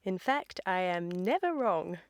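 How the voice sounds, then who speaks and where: A woman's voice: fairly low pitch, big pitch swings, unhurried, no echo. A woman playing a self-assured, romantic young upper-class English lady in a comic radio play, speaking in a precise, affected way. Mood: assured adoration. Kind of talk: theatrical comedy-of-manners radio acting.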